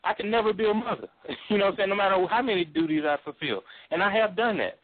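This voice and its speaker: A man with a baritone voice talks casually and emphatically on a call-in talk show. He laughs often and keeps saying "you know what I'm saying". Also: quick pace, dry recording. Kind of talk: casual opinionated chat. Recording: thin phone-line sound.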